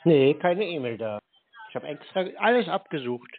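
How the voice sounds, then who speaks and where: A man's voice: fairly high pitch, sing-song, normal speed. A man on a phone call, talking in a dry, teasing way while stalling a caller. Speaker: prank call receiver. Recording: tinny phone line with faint noise.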